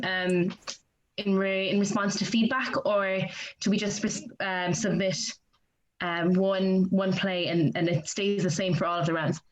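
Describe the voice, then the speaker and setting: A woman participant asking politely and carefully, with a hesitant delivery. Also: medium pitch. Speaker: workshop participant asking questions. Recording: dull, lo-fi sound.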